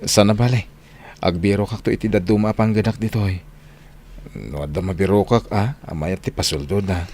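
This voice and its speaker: Actor as low-voiced, patient young Filipino working man. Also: moderate pace, darkish tone, slight background noise, close to an everyday voice. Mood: apologetic, patient. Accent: Northern Philippine Ilocano. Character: hardworking, patient young man Saldy, away from his sweetheart. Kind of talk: Ilocano radio drama acting.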